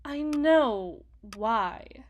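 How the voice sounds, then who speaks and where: A woman playing a cool, possessive demon in an ASMR roleplay, with formal, deliberate, theatrical phrasing. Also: sits high, expressive, full character voice. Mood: intrigued, intense. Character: cool, possessive female demon proposing a marriage contract. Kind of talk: ASMR roleplay, scripted dramatic monologue.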